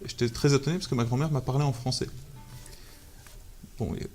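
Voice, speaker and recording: A man's voice: medium pitch, steady tone, a little fast, a little mellow. Hesitant man with faint music behind. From Algeria. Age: 30s. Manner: hesitant, many fillers and restarts. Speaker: guest photographer. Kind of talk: casual interview talk.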